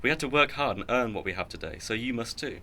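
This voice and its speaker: A man acting a well-off, boastful, stern middle-aged uncle in a radio drama, with a pompous, measured way of talking. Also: medium pitch, lively intonation, bright, forceful tone, slight background noise.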